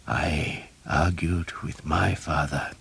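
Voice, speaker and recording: A man's voice: rumbling low, fairly even intonation, takes it slowly, creaky, warm tone, husky, exaggerated voice. A man voicing a dying older male priest who speaks in a weak, strained whisper. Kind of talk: audiobook character reading.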